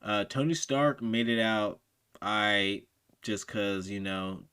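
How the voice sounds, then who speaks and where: A man's voice: fairly low pitch, takes it slowly, bright, forceful tone, clean tone. A man hosting a reaction video, talking in laid-back, slangy banter. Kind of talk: casual reaction commentary.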